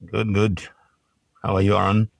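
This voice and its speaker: Guy in his 40s, low gravelly voice, keeps restarting sentences. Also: flat delivery, dry recording, studio quality. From grew up in the Russian Caucasus. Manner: halting, restarts phrases, laughs easily. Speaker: podcast guest, ice climber. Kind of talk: casual interview talk.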